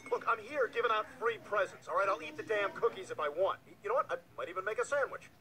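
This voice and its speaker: An adult talking dog from a cartoon comedy. He grumbles in an offhand, easygoing way, with a bright edge to the voice. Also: high-pitched, fast. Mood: irritated.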